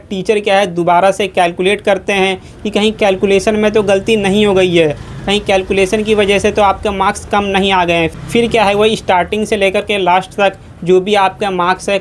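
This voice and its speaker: A man's voice: high voice, a little hiss or hum. A man giving a methodical, repetitive step-by-step explainer to students as an educational YouTuber.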